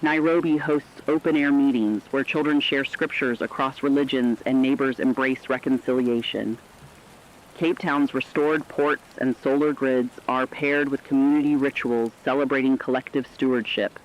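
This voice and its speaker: Male correspondent played by a man for apocalyptic radio drama: medium pitch, measured, earnest, dull lo-fi broadcast sound. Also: steady tone, lightly acted. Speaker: co-anchor in a fictional radio broadcast. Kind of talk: scripted radio news narration.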